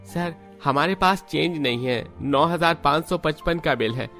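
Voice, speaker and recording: A man's voice: a little high, unhurried, pushed and bright, faint music behind, pitched above the performer's own voice. A man voicing a polite, deferential restaurant waiter in a cartoon story. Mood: polite, puzzled.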